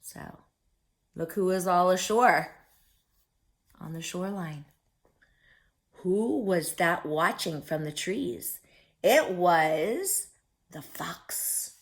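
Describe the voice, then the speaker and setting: A woman's voice: fairly low pitch, expressive, very slow, pauses often. A woman reading a picture book aloud to young children as a story-time host, theatrical and often putting questions to her listeners.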